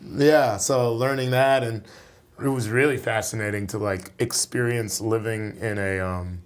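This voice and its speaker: A young man from the United States talking as a guest in a casual interview, relaxed and rambling, with many fillers and restarts. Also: lowish voice, unhurried. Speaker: podcast guest, jazz saxophonist.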